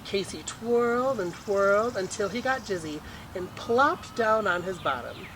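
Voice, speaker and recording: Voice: medium pitch, expressive, a little slow. A storytime host reading a children's picture book aloud expressively, on a noisy home-made recording. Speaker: storytime reader.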